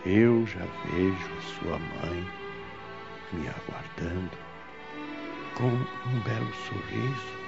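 A man in a radio drama playing a dying old father, gravelly and halting, gently consoling his children. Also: low-pitched, exaggerated voice. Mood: serene, weakened. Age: older. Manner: slow, halting, gentle farewell. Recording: muffled audio under loud violin music.

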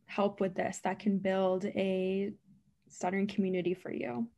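A woman who stutters speaks in an unhurried, deliberate way, often repeating sounds and words. As a speech therapist and interview guest, she gives earnest, emphatic answers. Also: medium pitch, flat delivery, dark tone. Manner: stutters, with repetitions and blocks. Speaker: guest, speech-language pathologist.

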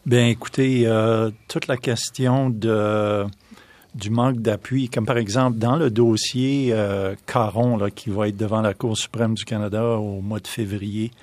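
A man who is a guest on a radio interview, speaking haltingly with many hesitations. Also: fairly low pitch, fairly even intonation, a little mellow, dry recording.

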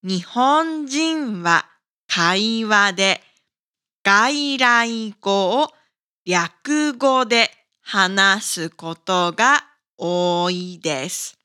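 A woman hosting a scripted language lesson for learners, speaking with careful, deliberate enunciation. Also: medium pitch, expressive, pushed and bright. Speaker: language teacher and podcast host.

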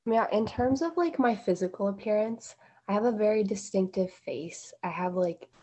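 A woman's voice: mid-range voice, normal speed, mellow, rough recording. Chatty teenage girl, filler-heavy. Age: teens. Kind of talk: casual group conversation.

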